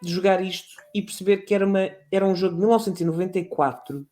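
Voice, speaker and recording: A man with a European Portuguese accent talking casually about video games, animated and rambling, full of restarts and fillers. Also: high-pitched, racing. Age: adult. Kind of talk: casual gaming chat.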